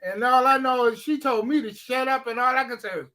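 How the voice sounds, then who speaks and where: A man's voice: very high-pitched, brisk, background noise. A man on a comedy panel, joking and acting out quotes.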